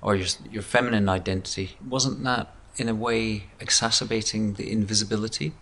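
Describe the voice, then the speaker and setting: Gravelly-voiced male interviewer. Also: on the low side, fairly even intonation, fairly quick, fairly bright tone. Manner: short probing questions, frequent "mm-hmm". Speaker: podcast host.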